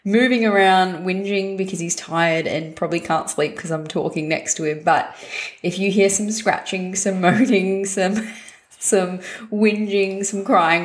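A woman hosting a fitness podcast at home, her voice hoarse, raspy and husky from a chest infection, talking in an upbeat, direct way with casual swearing. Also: lowish voice. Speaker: podcast host and fitness coach.

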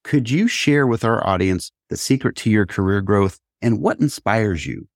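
A man's voice: lowish voice, animated, normal speed, dry recording, studio quality. A man hosting a business podcast, reading guest introductions and asking questions in an upbeat, friendly manner. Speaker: podcast host. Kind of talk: interview hosting.

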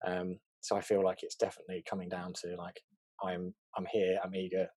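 A young man from England with a neutral English accent, a fast-talking, chatty interview guest on a video call, full of fillers and quick laughs. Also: deep voice, completely flat delivery, warm tone, dry recording. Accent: English, neutral southern. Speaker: interview guest, art director.

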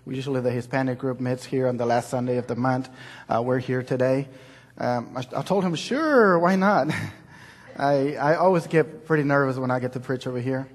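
A man with a Mexican accent, Spanish his first language, preaching a sermon in English and Spanish, halting, restarting and laughing at himself. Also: mid-range voice, long reverb tail. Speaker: pastor. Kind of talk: bilingual sermon with own interpreting. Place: large church sanctuary.

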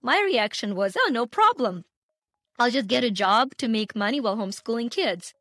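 A woman's voice: on the high side, animated, normal speed, a bit bright, no echo, clean studio sound, faint music behind. A middle-aged woman from Ukraine with a Ukrainian accent, telling her personal story emphatically as an interview guest. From Ukraine, former Soviet Union. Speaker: guest, entrepreneur and coach.

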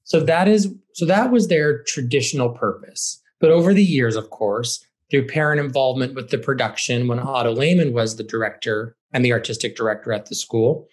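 Mid-pitched man chatting in an interview. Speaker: high school theater director, podcast guest. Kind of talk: conversational interview answers.

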